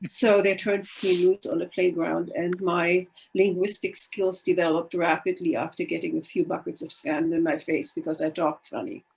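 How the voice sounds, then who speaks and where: A woman's voice: fairly low pitch, creaky, a little mellow, poor audio. An older woman from Germany whose first language is Polish, telling her life story in a chatty, wry and self-deprecating way on a video call. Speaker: guest speaker. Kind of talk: casual storytelling talk.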